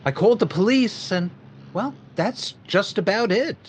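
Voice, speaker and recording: An actor playing a shaken factory owner in a 1940s-style radio drama, talking in a hesitant, stammering way. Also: male voice, high-pitched, expressive, unhurried, a little hiss or hum. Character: shaken middle-aged male factory owner robbed of payroll.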